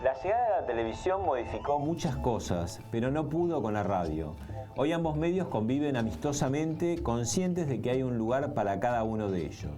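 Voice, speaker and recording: A medium-pitched man delivers scripted documentary narration. Music plays clearly behind his voice. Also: sing-song, normal speed, dark tone.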